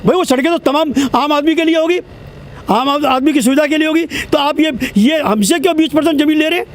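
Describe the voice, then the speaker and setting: A man's voice: very high voice, quick pace, a little hiss or hum. Indignant man in his seventies. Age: 70s. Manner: indignant, insistent, repeats himself. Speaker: protest spokesman. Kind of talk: street interview. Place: outdoors at a protest.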